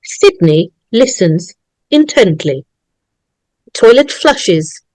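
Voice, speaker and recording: A woman's voice: mid-range voice, very animated, very slow, darkish tone, dry recording, rough recording. A woman reading screenplay directions as a scripted narrator.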